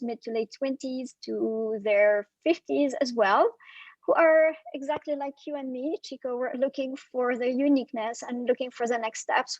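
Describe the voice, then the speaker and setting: A high-pitched woman in her forties from Syria talks as a life and career coach in a casual video interview. She speaks thoughtfully, pausing often. Her voice is dry and a little dull. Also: no room sound. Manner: reflective, thoughtful, with frequent pauses and fillers.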